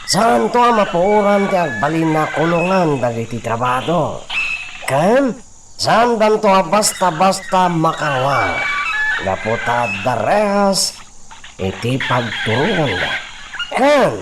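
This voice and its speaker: A man's voice: on the high side, very animated, slow, background noise, full character voice. An actor playing a fawning, sniggering henchman with an appetite for cruelty in a radio drama. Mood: gleeful. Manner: obsequious, sniggering.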